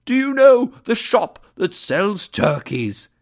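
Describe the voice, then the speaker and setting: A man's voice: mid-range voice, huge pitch swings, unhurried, full character voice. A man voicing an overjoyed, reformed old miser in a storybook reading, exclamatory and full of glee. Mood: eager glee. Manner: exclamatory, gleeful, effusive.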